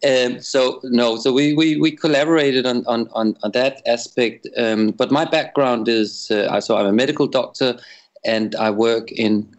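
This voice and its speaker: A man from Denmark, a psychiatrist and researcher, explaining his background in an interview in a measured way with some restarts. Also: mid-range voice, steady tone. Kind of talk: interview answer.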